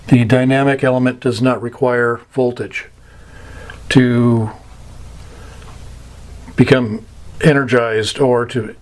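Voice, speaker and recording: Guy teaching radio stuff, informal, careful halting delivery, repeats words to make the point. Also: mid-range voice. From United States. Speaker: amateur radio instructor. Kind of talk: informal explanatory lecture.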